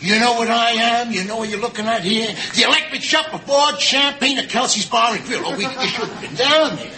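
A man playing a stubborn, blustering middle-aged working-class husband with a New York accent and rough grammar, in a sitcom. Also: very high-pitched, fairly quick, crowd noise, rough recording. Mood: boastful. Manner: blustering, ungrammatical, badgering. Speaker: sitcom actor. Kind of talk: sitcom acting before a studio audience.

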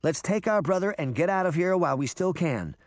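Big-sister girl, done by a man, raised pitch, worried, bossy, urgent, storybook. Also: expressive, brisk, no room sound, clean studio sound. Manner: urgent, anxious.

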